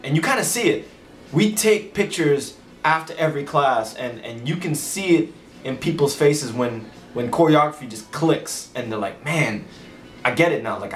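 A young man, the head choreographer, speaking to the camera in a relaxed and eager way, often repeating words and adding fillers. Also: medium pitch, echoey room. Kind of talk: interview to camera. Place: indoors against a plain wall.

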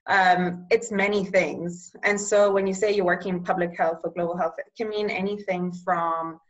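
Young woman speaking Bulgarian natively, lowish voice. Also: little pitch movement, muffled recording. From Eswatini. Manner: measured, searching for words. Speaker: public health practitioner. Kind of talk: casual interview answers. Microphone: video call.

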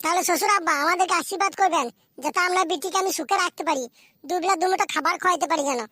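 A performer voicing a hapless, foul-mouthed young cartoon groom in coarse slang, squeaky and childlike. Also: very fast, pushed and bright. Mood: cheeky. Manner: coarse, grumbling, rural slang. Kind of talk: amateur comedy cartoon voice acting.

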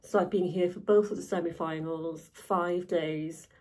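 A woman's voice: lowish voice, fairly even intonation, a little slow, darkish tone. A young woman vlogging casually to camera, chatty and enthusiastic with lots of fillers.

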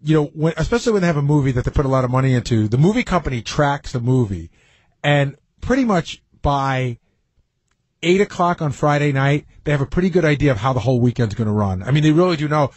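Male radio co-host, brisk and conversational, higher-pitched, explaining casually. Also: expressive, a little mellow, husky, dry recording. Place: radio studio.

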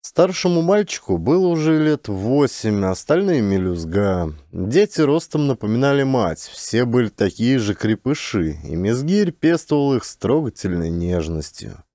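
A man's voice: mid-range voice, very animated, moderate pace, few pauses, warm tone, no echo, clean studio sound. A man narrating a literary audiobook in Russian, expressively, as a trained actor would.

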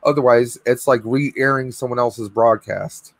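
A man in his thirties hosting a casual live stream from home, a bit hoarse and clearing his throat, talking on a video call in a rambling way. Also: medium pitch, fairly even intonation, measured pace, no room sound. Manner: chatty, rambling with fillers. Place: home room with collectibles, indoors. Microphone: webcam video call.

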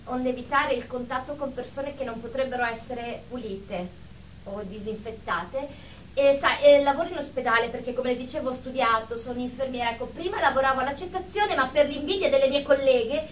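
A woman's voice: high voice, a little fast, natural voice. An actress playing a prim, anxious germophobe nurse in a radio drama, fussy, defensive and hesitant as she explains herself. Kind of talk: Italian radio drama acting. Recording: echoey room, distant mic, background noise.

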